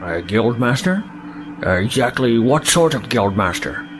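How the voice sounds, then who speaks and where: A man's voice: medium pitch, very animated, measured pace, over music, low-quality recording. A man playing a flustered, weaselly alien trader who stammers and blusters in a sci-fi audio drama. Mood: flustered, evasive.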